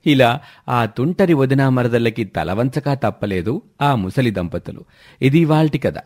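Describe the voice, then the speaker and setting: A man tells a scripted folk tale as its narrator. His pitch is medium and moves widely, giving the telling an expressive, animated flow. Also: unhurried. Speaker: story narrator.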